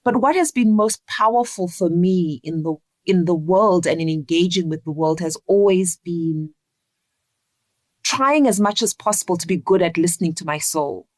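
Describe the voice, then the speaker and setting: A woman from Zimbabwe, speaking earnestly and deliberately as a reflective interview guest. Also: fairly low pitch, darkish tone, dry recording. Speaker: interview guest, leadership coach.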